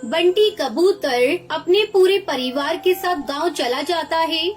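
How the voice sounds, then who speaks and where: A woman's voice: very high voice, takes it slowly. A woman reading scripted storybook narration for a cartoon as the narrator.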